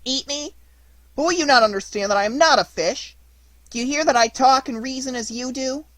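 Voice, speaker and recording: A man's voice: extremely high pitch, brisk, a bit bright, no echo, voice pushed up. A man voicing an indignant, frightened boy puppet who protests and pleads. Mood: alarmed. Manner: feeble, entreating, protesting.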